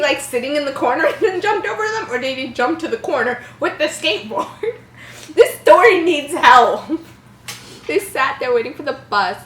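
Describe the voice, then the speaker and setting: Casting: bright, lively woman in her early twenties, comedic story host with casual mocking asides, roomy recording. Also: high-pitched. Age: early 20s. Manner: giggly, mocking asides, animated. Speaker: channel host. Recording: roomy echo, faint background noise.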